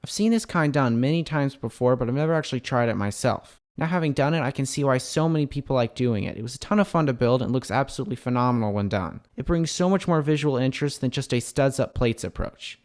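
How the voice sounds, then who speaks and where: A man's voice: mid-range voice, lively intonation, quick pace, few pauses, a little mellow. Enthusiastic man narrating a build showcase. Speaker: host of a LEGO building channel.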